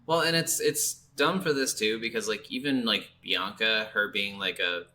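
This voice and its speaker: Bright-voiced man over background noise. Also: on the low side, normal speed. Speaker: podcast co-host. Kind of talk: casual video game review chat. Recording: clearly audible background noise.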